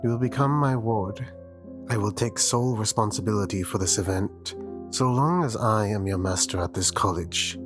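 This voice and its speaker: A man's voice: on the low side, normal speed, natural voice. A man playing a stern, condescending master of magic at a college, speaking formally and imperiously in a fantasy audio roleplay. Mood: stern, controlling. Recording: music playing behind the voice. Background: instrumental music.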